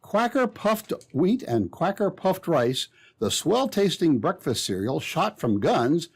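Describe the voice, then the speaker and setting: Dramatic old-time radio announcer, man, lively delivery. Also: on the high side, slow, husky. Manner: dramatic, theatrical. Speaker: announcer and narrator.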